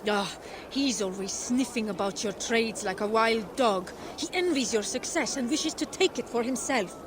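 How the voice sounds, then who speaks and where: An actress playing a shrewd, ambitious and devoted Viking wife in a video game cutscene. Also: female voice, a little high, expressive, moderate pace, slight background noise, close to an everyday voice, rough recording. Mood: stern. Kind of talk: dramatic game cutscene acting. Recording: music behind the voice.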